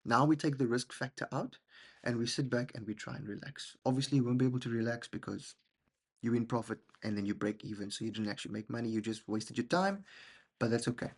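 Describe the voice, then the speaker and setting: Man, gravelly, husky, rambling, self-deprecating, keeps asking "okay?", casual trading walkthrough. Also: mid-range voice, fairly even intonation, fast. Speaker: trading channel host.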